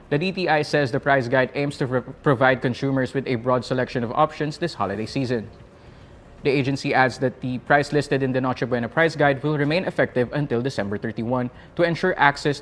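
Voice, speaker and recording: A man with a Filipino accent presenting a scripted news roundup in a formal, measured way. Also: medium pitch, a little hiss or hum.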